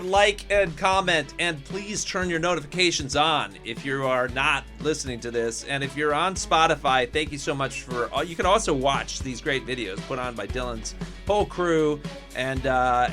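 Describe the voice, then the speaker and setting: A man's voice: fairly high pitch, moderate pace, music in the background. A man hosting a podcast in a casual, bantering, joking style. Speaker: podcast host. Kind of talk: casual chat.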